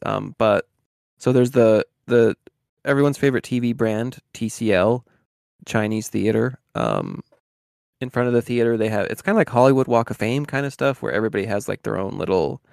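Dude with a lively up-and-down voice, gravelly, dry close sound, podcast co-host. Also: male voice, mid-range voice, dry recording. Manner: animated, lots of "like" fillers. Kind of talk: casual chat.

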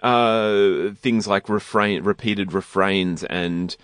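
Deep-voiced male radio host. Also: steady tone, slow, a bit bright, clear, resonant tone, dry recording. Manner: reflective, loosely worded questions. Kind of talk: radio interview.

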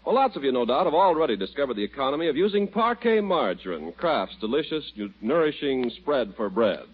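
A male radio announcer reading a commercial in a smooth sales pitch, on a muffled old broadcast. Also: sing-song, fairly quick.

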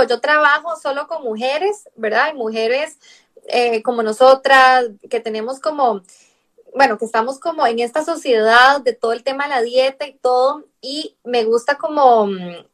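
A woman's voice: high-pitched, normal speed, a bit bright. A young adult woman from Costa Rica with a Costa Rican accent, a nutritionist guest explaining patiently and often tagging sentences with a questioning aside. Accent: Costa Rican Spanish. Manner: explanatory, fills with rhetorical "¿verdad?". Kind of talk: conversational interview.

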